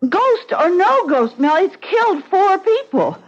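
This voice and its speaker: An actress playing a worried, devoted wife in an old radio mystery drama. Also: female voice, big pitch swings, a little slow, exaggerated voice. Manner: pleading, turning to high screams in panic. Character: Liz, anxious loyal young wife. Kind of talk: 1950s radio drama acting. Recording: dull old radio recording with hiss.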